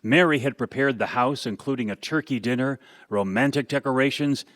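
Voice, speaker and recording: An actor narrating a radio play as a folksy, knowing storyteller. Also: male voice, mid-range voice, fairly quick, fairly bright tone, no room sound, close to an everyday voice. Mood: fond, wistful.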